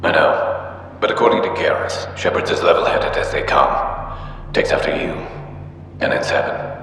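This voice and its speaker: An actor playing an older alien veteran in a video game, a gruff, wary father speaking in a measured, confiding way. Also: rumbling low, expressive, fairly bright tone. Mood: grave, confiding. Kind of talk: video game dramatic acting. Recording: very echoey hall, faint hum.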